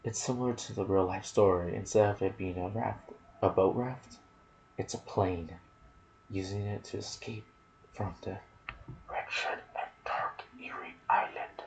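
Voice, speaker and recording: Male ASMR gaming host, soft and intimate delivery slipping into whispers, low gravelly register. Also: normal speed, background noise. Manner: soft-spoken, sometimes dropping to a whisper. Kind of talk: ASMR gaming commentary, reading trivia with asides.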